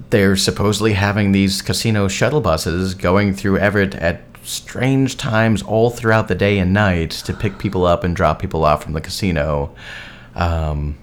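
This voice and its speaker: A man from Massachusetts, an actor co-hosting a podcast, talking casually and explaining things in a thoughtful, measured way. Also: deep voice. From Massachusetts, USA. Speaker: podcast guest host, actor. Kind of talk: casual chat.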